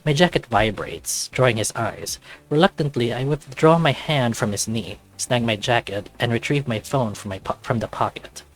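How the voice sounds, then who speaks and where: A man's voice: medium pitch, animated, moderate pace, low-quality recording. Man expressively narrating over music. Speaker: YouTube visual novel reader. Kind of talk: expressive story narration read aloud.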